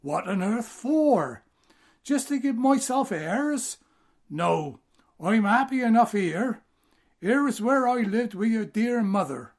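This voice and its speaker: A man's voice: high-pitched, very animated, slow, heavily acted. Guy doing hearty old island merchant dad, folksy, audio drama. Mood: fond, jovial. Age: older. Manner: folksy, hearty country speech. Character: hearty, well-off older island merchant, doting father.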